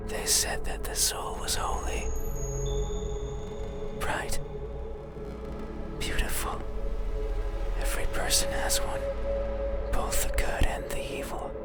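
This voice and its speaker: A man voicing an eerie, needy monster in a horror game, speaking in a breathy, intimate, halting whisper. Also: close to an everyday voice. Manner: slow, halting, intimate phrasing. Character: eerie, needy bedside monster begging to be looked at. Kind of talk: ASMR-like horror game monologue. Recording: loud music under the voice. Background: music.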